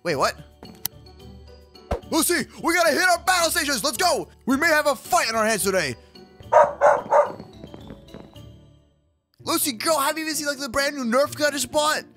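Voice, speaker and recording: A man playing an excitable, sassy kid hero in an animated gaming roleplay, peppering his talk with slang. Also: very high-pitched, fairly quick, over loud music. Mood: eager. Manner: slangy, says bro and dude constantly. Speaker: gaming video creator playing the lead character. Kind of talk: animated Minecraft kids roleplay acting.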